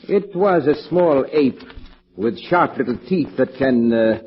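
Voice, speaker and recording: An actor playing a polite, secretive foreign professor in an old radio drama, with formal, halting, careful non-native phrasing. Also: male voice, mid-range voice, lively intonation, breathy edge. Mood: politely evasive. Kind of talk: 1940s radio acting. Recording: dull old radio recording.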